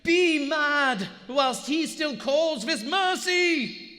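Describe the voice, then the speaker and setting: High-voiced, crazed submarine lieutenant, echoing hall. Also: male voice, expressive, very slow, sharp tone, heavily acted. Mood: frenzied, pleading. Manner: melodramatic, fervent, portentous delivery. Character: crazed, fervent German submarine lieutenant, a grown man haunted by visions. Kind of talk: live radio drama acting. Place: on stage in a large historic theater. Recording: live theater hall with strong reverb.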